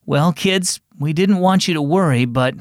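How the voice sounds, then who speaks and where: A man voicing a gentle, faithful father who speaks slowly and softly in a children's storybook. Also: on the high side, no echo, clean studio sound, close to an everyday voice. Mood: solemn.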